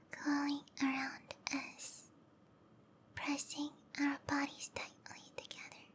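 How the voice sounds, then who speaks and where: A woman voicing a sweet, obsessive snake-woman lover in a whispered ASMR roleplay, speaking softly and tenderly with intimate, lingering phrasing. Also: high voice. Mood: soothing, possessive. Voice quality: soft, breathy whisper.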